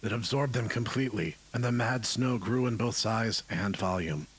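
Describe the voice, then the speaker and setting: A man playing a detached researcher, narrating grim lab findings as a formal, measured horror report. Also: on the low side. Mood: clinical, detached. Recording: voice-over under clear background music. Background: music.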